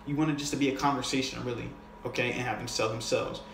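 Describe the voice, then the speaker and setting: A man's voice: medium pitch, steady tone, fast talker, vocal fry. A man coaching on sales in a casual, unscripted, conversational way, using slang and often checking in with the listener. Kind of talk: casual, unscripted instructional talk. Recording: echoey room with faint noise.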